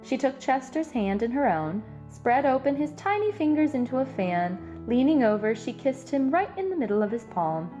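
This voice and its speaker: A woman narrating a children's storybook aloud in an expressive read-aloud style. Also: sits high, moderate pace, hardly any pauses, dull audio, soft background music. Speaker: storybook reader.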